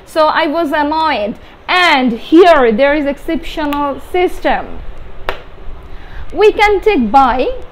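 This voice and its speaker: A young adult Bengali-speaking woman with a Bangladeshi accent, explaining grammar step by step as a teacher, halting and repeating key words with emphasis. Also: sits high, poor audio. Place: small indoor room with whiteboard. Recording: steady background room noise.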